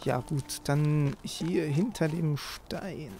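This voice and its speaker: A man's voice: on the high side, takes it slowly, noisy background. A man giving casual gameplay commentary, talking informally and half to himself as he plays. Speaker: let's player, gaming host. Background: game music.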